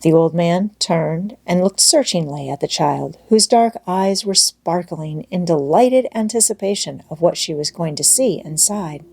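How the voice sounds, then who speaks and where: A woman reading a children's classic aloud as scripted storybook narration, in a measured, expressive manner. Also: fairly low pitch, vocal fry, slightly rough. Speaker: audiobook narrator.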